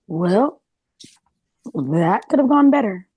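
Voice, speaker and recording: A woman playing a calm, conciliatory mediator in a dramatic read, soothing and reasoning. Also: mid-range voice, slow pace, slightly rough, no echo. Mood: resigned.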